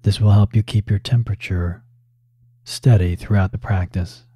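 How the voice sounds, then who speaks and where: A man with a very soft, dark, relaxed voice on the low side guides a meditation. He speaks calmly and deliberately, leaving space between short instructional phrases. The recording is close and dry. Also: slightly rough, dry recording. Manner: calm, measured, with long pauses between short phrases. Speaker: yoga teacher leading guided meditation.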